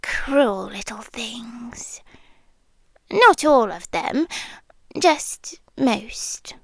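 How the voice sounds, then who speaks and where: A British yandere girlfriend, acted by a woman for ASMR roleplay, possessive and sweetly threatening. Her high voice lilts and swoops in a very unhurried, teasing delivery. She often sinks into breathy, intimate whispers. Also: animated, no echo, heavily acted. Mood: quietly bitter.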